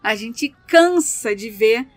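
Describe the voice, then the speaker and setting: A woman's voice: fairly high pitch, expressive, takes it slowly, no echo, faint music behind. A Brazilian woman with a Brazilian Portuguese accent, chatting casually and explaining things through lists of examples. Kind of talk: casual chat.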